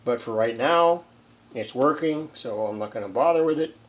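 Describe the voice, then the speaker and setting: A man's voice: medium pitch, animated, muffled recording. Man rambling through a hands-on demonstration. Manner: rambling, halting, thinking aloud. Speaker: hobbyist radio repairer, presenter. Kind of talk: casual hands-on demonstration talk.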